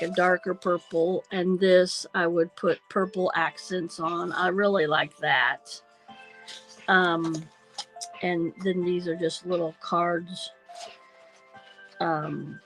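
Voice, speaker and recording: A woman hosting a craft livestream, nasal from a sinus cold, thinking aloud slowly and hesitantly with many ums. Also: lowish voice, poor audio. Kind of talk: casual craft livestream chat.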